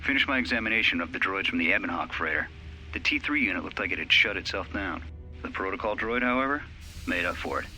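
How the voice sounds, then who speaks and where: An actor playing a matter-of-fact mining facility worker dictating an audio log in a video game. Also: male voice, deep voice, lively intonation, fast talker, pushed and bright, soft background music, full character voice, low-quality recording. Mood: businesslike.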